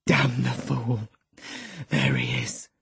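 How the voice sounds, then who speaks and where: A narrator voicing a brooding, tormented man in love, husky, hushed and intense, in an audiobook. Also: very deep voice, very animated, slow pace, noisy background, voice pushed down, full character voice. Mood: hushed devotion. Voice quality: husky, breathy.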